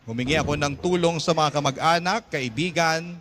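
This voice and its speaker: A man's voice: a little high, moderate pace. A man narrating a letter-style story in an earnest, emotional manner. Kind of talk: scripted drama narration. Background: loud background music.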